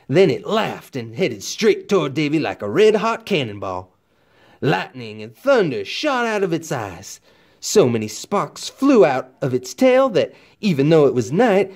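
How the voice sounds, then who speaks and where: A man narrating a children's storybook expressively, with folksy, dramatic emphasis like a teacher reading aloud. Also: high voice.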